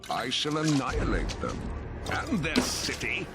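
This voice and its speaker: An actor playing a grandiose, gloating conqueror supervillain in a video game, theatrical and pompous. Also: male voice, medium pitch, huge pitch swings, measured pace. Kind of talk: animated video game acting. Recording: loud music behind.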